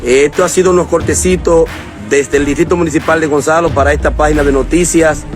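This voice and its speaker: A man's voice: fairly high pitch, fairly even intonation, quick pace, music in the background. A man reporting from the field and questioning local officials. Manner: leading questions, wrapping up reports. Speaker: news reporter. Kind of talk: field news interview.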